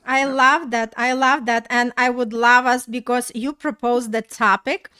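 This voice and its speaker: A woman hosting a relationship talk show, speaking in a reflective, steady way and slowing down to stress her points. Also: on the high side, smooth. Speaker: podcast host.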